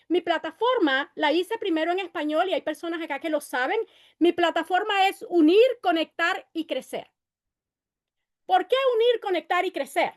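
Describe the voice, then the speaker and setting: A woman in her fifties running for city council, giving an impassioned campaign speech at a meeting, moving between Spanish and English and repeating her calls for unity. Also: very high-pitched. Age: 50s. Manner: impassioned, rallying, repeats phrases.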